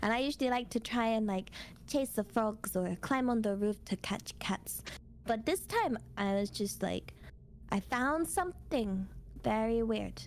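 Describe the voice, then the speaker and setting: A woman with a very high, swooping voice tells casual stories in a cutesy, playful way. She takes her time between phrases and drops in Japanese words. There is faint noise behind her. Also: animated, unhurried. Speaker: VTuber streamer. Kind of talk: casual storytelling chat.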